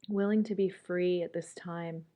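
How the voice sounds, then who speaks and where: A woman leading a guided meditation in a calm, gentle manner, leaving long pauses as she goes. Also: fairly low pitch.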